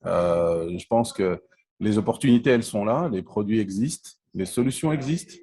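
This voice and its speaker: A man's voice: lowish voice, moderate pace, mellow. A man from Congo in his forties, answering interview questions in a hesitant way, with frequent fillers and restarts. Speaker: interview guest, telecom entrepreneur.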